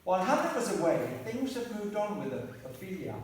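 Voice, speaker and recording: A man playing a brisk, harried narrator and director trying to keep a shambolic show on track. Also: high voice, big pitch swings. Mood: storytelling. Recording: echoey hall, distant mic, faint hiss.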